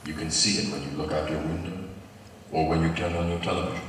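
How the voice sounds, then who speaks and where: An actor playing a calm, grave mentor who speaks in slow, measured, solemn tones, heard as a film clip over hall speakers. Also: male voice, very deep voice, distant mic, background noise. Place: large conference hall.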